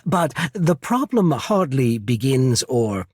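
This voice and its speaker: An actor playing a shrewd, courteous naval emissary in a fantasy video game, speaking in a measured, formal, persuasive way. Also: male voice, mid-range voice, sing-song, a little mellow, husky, no echo, studio quality, exaggerated voice. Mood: measured, grave. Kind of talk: scripted fantasy game dialogue.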